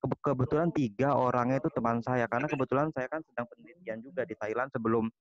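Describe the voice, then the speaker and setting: Young male student-type participant, mid-pitched, Indonesian accent, colloquial and repetitive, on lo-fi call audio. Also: fairly even intonation, fast. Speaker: forum participant asking questions, student association member. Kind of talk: informal spoken question. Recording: dull, lo-fi online meeting audio.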